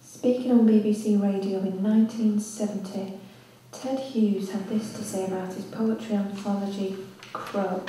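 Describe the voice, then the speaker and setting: An actress as an irritable, distracted woman in a live stage drama. Her voice is soft-edged and unhurried. It carries from far off in a very reverberant theatre. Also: mid-range voice, natural voice. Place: theatre stage, recorded from a distance. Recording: very echoey hall, far from mic, faint noise.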